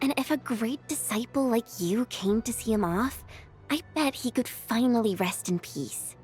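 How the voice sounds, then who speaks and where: A woman voicing a hot-headed, good-hearted young woman warrior in a video game, expressive and informal. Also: on the high side, moderate pace, soft background music, natural voice. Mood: casual, gentle.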